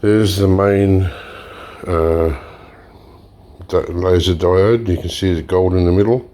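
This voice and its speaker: Male hands-on demo host, deep and dark-toned, relaxed and a bit hoarse, thinks aloud while he works. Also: slow. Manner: relaxed, explains while working, frequent fillers and restarts. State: slightly hoarse, clearing throat. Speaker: e-waste recycling channel host. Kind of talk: casual hands-on demonstration.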